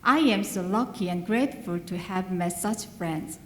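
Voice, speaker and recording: A woman's voice: medium pitch, moderate pace, fairly bright tone, a little hiss or hum. A woman, an adult English learner whose first language is not English, giving an earnest graduation speech with small grammar slips. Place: large hall. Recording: echoey hall with long reverb.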